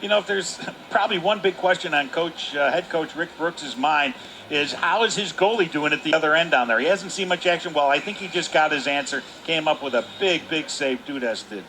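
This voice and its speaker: A man's voice: fairly high pitch, brisk, pushed and bright, low-quality recording. A man gives unscripted hockey analysis as colour commentator, emphatically repeating words for stress. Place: indoor ice hockey arena. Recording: faint noise of live rink.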